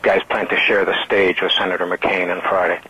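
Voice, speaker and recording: A low, husky male voice comes through dull, lo-fi call audio. He is a reporter putting questions haltingly, restarting phrases as he feels his way through them. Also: flat delivery, sharp tone. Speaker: wire service reporter. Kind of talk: asking questions on press call. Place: telephone conference call.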